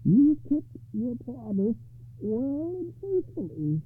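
A man voicing a bold, knowing, monstrous lady under enchantment, speaking in a deliberate, stately way for a told legend. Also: very high voice, big pitch swings, breathy edge, pitched above the performer's own voice, full character voice. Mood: slow, expectant. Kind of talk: storytelling character voice. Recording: muffled phone-like narrow-band sound.

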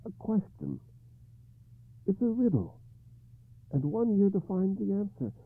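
A worried medieval king, his voice leaping high and swooping all over as he pleads and bargains, as a storyteller puts him on. The sound is dry and narrow, like a phone line. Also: very animated, a little slow, slightly rough, no echo, exaggerated voice. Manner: pleading, rising to frantic. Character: anxious proud medieval king, adult man.

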